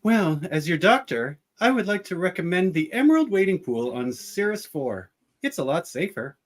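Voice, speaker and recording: A woman's voice: on the low side, sing-song, normal speed. A woman playing a good-humored starship doctor in an amateur radio drama, with an easygoing, teasing bedside manner. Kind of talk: amateur radio theatre reenactment.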